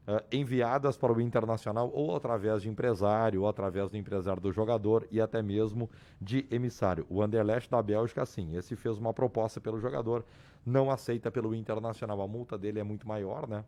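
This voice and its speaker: A man with a hoarse voice, reporting club sports news on a radio show in an explanatory way. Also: lowish voice, warm tone. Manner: explanatory, restarts and repeats names. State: hoarse, losing his voice. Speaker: sports commentator.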